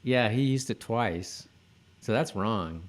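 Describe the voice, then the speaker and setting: A man co-hosting a podcast, chatting casually in a halting, repetitive way as if thinking aloud. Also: fairly low pitch, lively intonation. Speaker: podcast co-host. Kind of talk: casual chat about a TV episode.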